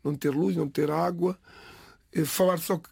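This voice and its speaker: A middle-aged man from the Lisbon area whose first language is Cape Verdean Creole, giving a halting, reflective personal account in an interview. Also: on the high side, fairly even intonation, creaky, darkish tone, slightly rough, no room sound, studio quality. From Portugal, Lisbon area. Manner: halting, restarts phrases, pauses between words.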